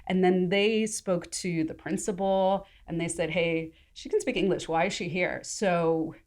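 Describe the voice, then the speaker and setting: A woman's voice: lowish voice, fairly even intonation, measured pace, darkish tone. A young adult woman from the United States, casually and animatedly telling a story as a guest in a roundtable chat. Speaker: podcast guest.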